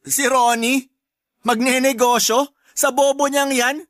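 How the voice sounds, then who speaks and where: An actor playing a mocking Filipino older brother in a radio drama, taunting and whiny. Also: male voice, very high voice, little pitch movement, takes it slowly, a bit bright, dry recording, studio quality, full character voice. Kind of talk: Tagalog radio drama acting.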